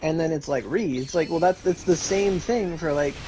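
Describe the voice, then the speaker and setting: A man talks loosely on a stream, circling his point with lots of fillers and restarts. His voice sits fairly high for a man. A faint background hiss runs under the recording. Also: moderate pace, mellow, low-quality recording. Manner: rambling, many fillers and restarts. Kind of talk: casual stream chat.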